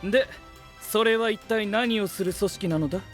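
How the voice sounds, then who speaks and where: A man's voice: high-pitched, normal speed, soft background music, close to an everyday voice. An actor playing a composed, pedantic young male scientist knight with formal, logical phrasing in an anime-style voice drama. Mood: cool, analytical.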